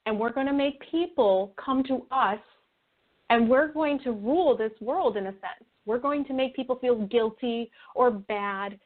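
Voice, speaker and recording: A woman speaking as an interview guest in an earnest, conversational way, reflective and halting as she rephrases her thoughts. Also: on the high side. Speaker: interview guest, spiritual video creator. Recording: tinny phone-like call audio.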